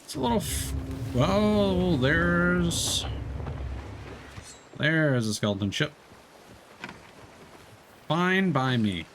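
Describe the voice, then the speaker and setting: Man streamer, lively up-and-down pitch, chatty, thinking aloud, faint background hum. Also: extremely slow, pauses often. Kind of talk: casual gameplay commentary.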